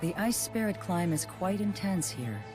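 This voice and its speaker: A woman's voice: lowish voice, lively intonation, unhurried. A woman voicing a proud, aloof ancient ice spirit in a video game, speaking in a cool, formal and curt way. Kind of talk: video game cutscene voice acting. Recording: music under the voice.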